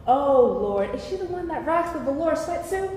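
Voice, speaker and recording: A woman's voice: high voice, big pitch swings, normal speed, darkish tone. A woman performing a brash, foul-mouthed comic friend telling family stories in a live staged play reading. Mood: incredulous, amused. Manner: theatrical, exclamatory comic storytelling. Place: live stage in a reverberant hall. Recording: echoey hall, distant ambience, faint noise.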